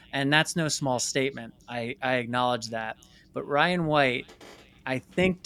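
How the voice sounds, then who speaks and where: A man with a crisp, resonant voice and a bright, forward tone speaks at a medium pitch. He talks candidly, like a guest telling stories in an interview. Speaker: guest, filmmaker and company CEO.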